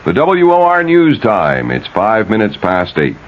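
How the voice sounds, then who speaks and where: A man's voice: on the low side, wildly swooping pitch, measured pace. An American man anchoring a 1970s radio news bulletin, reading scripted stories. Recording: dull, lightly noisy vintage radio broadcast.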